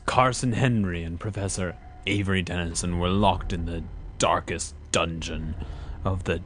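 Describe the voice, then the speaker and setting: A man's voice: deep voice, fairly even intonation, moderate pace, a bit bright, slight background noise. A man narrating an adventure serial in a dramatic, old-time style, melodramatic and suspenseful. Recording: prominent music behind the voice.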